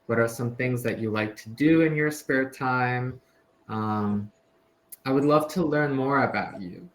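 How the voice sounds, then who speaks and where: A man's voice: mid-range voice, a little slow. Friendly man tutoring on a call. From United States. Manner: friendly, hesitant, many fillers and restarts. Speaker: English tutor. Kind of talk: casual conversation lesson.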